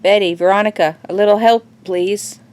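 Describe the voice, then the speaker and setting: A woman's voice: mid-range voice, fairly even intonation, normal speed, a little mellow, natural voice. A woman playing a caring middle-aged mother who takes charge in an audio drama, reassuring and practical. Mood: urgent, controlled.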